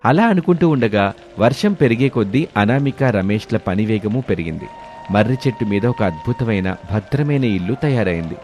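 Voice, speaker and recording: A man's voice: lowish voice, animated, fairly quick, a little mellow. A man giving scripted narration for a moral storybook tale. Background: background music.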